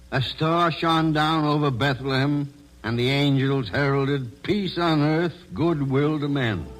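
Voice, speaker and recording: A man's voice: fairly high pitch, low-quality recording. A man delivering solemn, oratorical scripted narration in the style of 1940s radio. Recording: vintage 1940s radio broadcast. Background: music.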